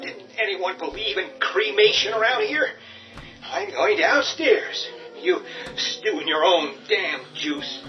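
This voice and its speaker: A man's voice: sits high, animated, slow pace. An actor playing a panicky, quarrelsome father who insists on hiding in the cellar. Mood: agitated. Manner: agitated, quarrelsome, rising shouts. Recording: dull distant audio over music.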